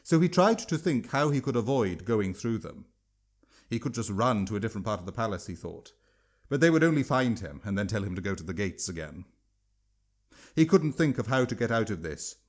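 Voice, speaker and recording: A man's voice: fairly low pitch, sing-song, a little fast. A man narrating a written story as an audiobook-style reader and its author.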